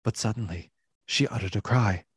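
A man's voice: lowish voice, moderate pace, very noisy. A man narrating a literary radio play in a formal, theatrical storytelling manner.

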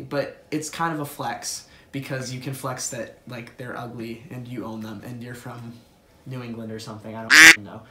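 A man's voice: mid-range voice, echoey room. A young man from Massachusetts, a college student, listing mock-serious winter tips in a cocky, bossy way. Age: young adult. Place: dorm room, indoors.

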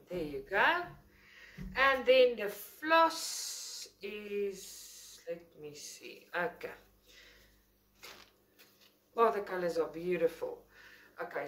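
A woman's voice: mid-range voice, fairly bright tone. A woman with a South African accent, a native Afrikaans speaker, chatting enthusiastically as she unboxes a craft kit at a table indoors. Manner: chatty, enthusiastic, rambling with self-corrections. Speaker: craft channel host. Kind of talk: casual unboxing talk to camera. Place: indoors at a table, filming overhead.

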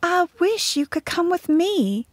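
A woman voicing a gentle, affectionate young girl in a storybook, speaking softly and wistfully to a friend. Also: extremely high pitch, big pitch swings, measured pace, no room sound, voice pushed up, exaggerated voice. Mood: tender, wistful.

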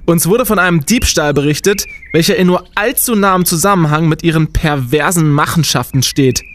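Man voicing a pompous young captain, blustering, accusing, slightly high voice, comic radio play. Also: fairly quick, natural voice. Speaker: team captain.

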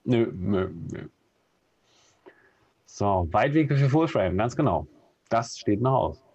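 A man's voice: lowish voice, slow pace, slightly rough. Berlin man over a dull video call. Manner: relaxed, many fillers and hesitations. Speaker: co-host. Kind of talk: casual live-stream chat. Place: home room with bookshelf. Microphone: video call webcam.

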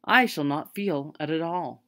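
A woman voicing a gentle male man of tin who speaks plainly and mildly, in a storybook reading. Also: deep voice, unhurried.

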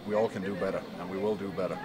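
Low-voiced male coach amid loud crowd. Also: steady tone, racing. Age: middle-aged. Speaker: soccer coach. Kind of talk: postgame interview. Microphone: handheld interview microphone. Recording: slightly distant, loud crowd behind.

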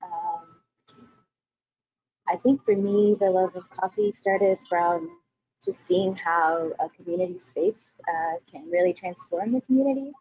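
A woman from Mexico introducing herself on a video panel as a coffee shop owner, in a relaxed storytelling manner. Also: on the low side, unhurried, breathy edge, muffled recording.

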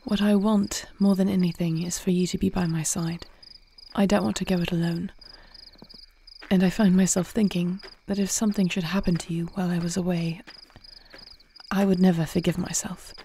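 A woman playing a poised young warrior princess in a fantasy audio roleplay, speaking with formal, measured, regal diction. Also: fairly low pitch, little pitch movement, warm tone, slight background noise, lightly acted. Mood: tender. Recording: faint night cricket ambience.

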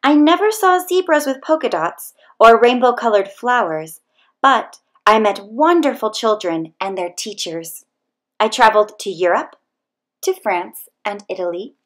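A woman reading a children's picture book aloud as scripted narration, with expressive, deliberate storytelling delivery. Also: a little high. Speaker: storybook reader.

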